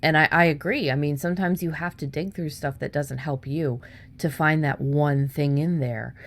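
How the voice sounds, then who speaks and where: A woman's voice: low-pitched, steady tone, normal speed. A woman hosting a podcast, giving conversational advice in an explanatory, encouraging way. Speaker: podcast host.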